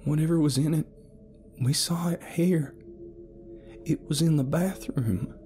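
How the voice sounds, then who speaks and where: A woman's voice: a little slow, mellow. A man voicing a defiant, frantic young woman who curses shrilly, over faint music. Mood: earnest. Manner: shrill and cursing.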